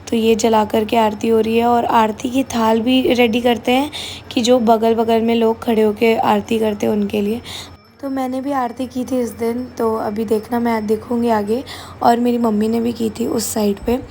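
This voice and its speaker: A young woman with a slightly hoarse, mellow voice narrates a vlog voice-over in a relaxed, chatty way. Her pitch stays fairly flat. Faint noise sits behind her. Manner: casual, mixing Hindi and English. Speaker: vlogger. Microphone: voice-over recorded separately.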